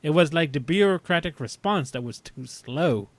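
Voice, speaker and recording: A man's voice: fairly high pitch, lively intonation, moderate pace, dry recording. A man talking casually as a panelist in a fan discussion podcast.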